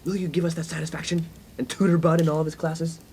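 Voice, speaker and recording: A man's voice: fairly high pitch, flat delivery, fast, a little mellow, a little hiss or hum, lightly acted. An actor playing a pompous, skirt-chasing young college man in a 1950s comedic radio play, given to grandiose, wordy speeches. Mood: indignant, grandiose.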